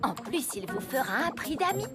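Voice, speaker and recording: A woman voicing a confident, teasing child sidekick in a French animated series, cheeky and smooth-talking. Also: high-pitched, normal speed. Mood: smooth, persuasive. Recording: background music under the voice.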